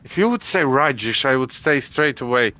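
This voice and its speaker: A man from Latvia with a Latvian accent, streaming a video game and chatting casually with viewers, halting with many restarts and fillers. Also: mid-range voice, lively intonation, pushed and bright, poor audio. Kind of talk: casual gameplay chat with viewers. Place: home gaming setup.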